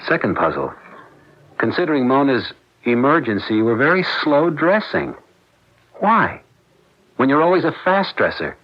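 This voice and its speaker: A dull, lo-fi 1970s radio drama recording carries a suspicious husband. His mid-pitched voice is measured and probing as he coolly presses his wife with accusations. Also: big pitch swings. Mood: accusing, controlled.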